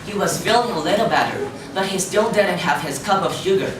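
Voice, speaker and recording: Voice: mid-range voice, fairly quick. Storybook narrator, very echoey and distant. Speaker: reader's theater narrator. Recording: very echoey, distant, noisy room.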